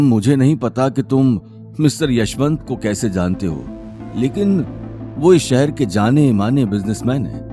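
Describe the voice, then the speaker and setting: Man voicing low, worried, cautioning father. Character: Anil, cautious worried father giving stern warning. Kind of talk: audio drama dialogue.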